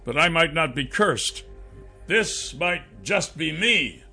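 A man's voice: a little high, sing-song, slow pace, sharp tone, husky, soft background music, pitched above the performer's own voice, full character voice. A man voicing an earnest, stubborn young dragon hero in a fantasy audiobook, speaking insistently and defensively.

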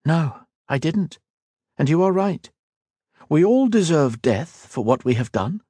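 A man's voice: a little high, very animated, husky, no echo, voice pushed up, exaggerated voice. A man voicing a coaxing elf woman creature. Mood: measured. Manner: soft, measured and coaxing. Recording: dry close studio read.

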